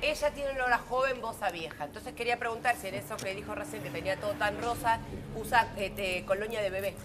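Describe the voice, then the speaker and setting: A woman's voice: medium pitch, fast talker, noisy background. A woman with an Argentine accent on a TV panel, asking pointed, mocking questions in a studio. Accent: Argentine Spanish. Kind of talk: talk show banter.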